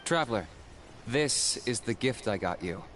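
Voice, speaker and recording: A man's voice: mid-range voice, lively intonation, a little slow, noisy background, natural voice. An actor playing a cool, blunt young male hunter who speaks terse and matter-of-fact.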